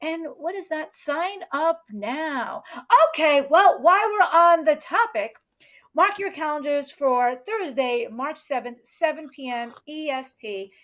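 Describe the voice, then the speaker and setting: A woman's voice: high-pitched, lively intonation, measured pace, a bit bright. A woman hosting a chatty live interview show, with an exuberant, cheering manner. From New York, United States. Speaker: show host.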